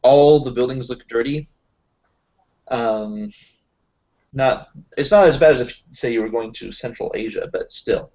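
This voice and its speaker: A man's voice: mid-range voice, unhurried, long pauses, a little mellow, dull audio. A man chatting casually as a player in a tabletop roleplaying group, explaining things in a discursive way. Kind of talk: casual in-character group chat.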